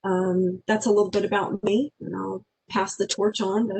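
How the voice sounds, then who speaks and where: A woman's voice: mid-range voice, little pitch movement, moderate pace, vocal fry, a little mellow, slightly rough, low-quality recording. A woman from Wisconsin, an end-of-life doula, giving earnest personal introductory remarks over a video call. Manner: earnest, personal, some restarts.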